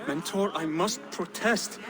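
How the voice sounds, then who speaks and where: An actor playing a devout, indignant young assassin disciple in a video game, earnest and formal. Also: male voice, high-pitched, measured pace, in a large hall, crowd noise. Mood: protesting, earnest. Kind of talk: video game cutscene acting.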